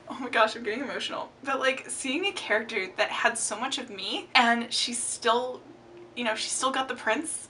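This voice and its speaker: Bright, chatty young woman book vlogger. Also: a little high, recorded from a distance, slight background noise. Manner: chatty and expressive, gestures a lot. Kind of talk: casual book tag chat. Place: home room with bookshelves. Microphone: camera framing, upper body.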